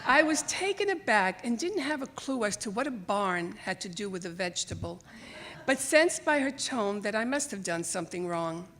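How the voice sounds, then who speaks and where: A woman's voice: medium pitch, normal speed. An older woman who grew up speaking another language reads her own scripted personal essay aloud to a live audience, expressively, as a storyteller. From Greece, emigrated to the United States as a child. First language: Greek or Italian. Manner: expressive, acts out remembered dialogue. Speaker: writer reading own memoir essay. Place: library theater stage, live event.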